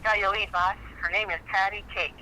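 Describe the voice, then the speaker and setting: Woman playing a sharp-voiced detective's assistant on a call. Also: medium pitch, steady tone, brisk, slight background noise, poor audio. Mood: matter-of-fact. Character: obliging female assistant to a detective, matter-of-fact. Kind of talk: campy film noir radio play acting.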